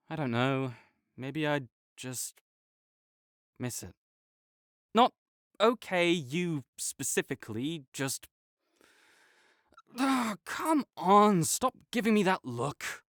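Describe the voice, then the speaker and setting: A man's voice: fairly high pitch, a bit bright, no echo, clean studio sound, heavily acted. A young man playing a prickly male academic rival who is grudgingly kind, talking teasingly and defensively to a silent listener in an audio roleplay.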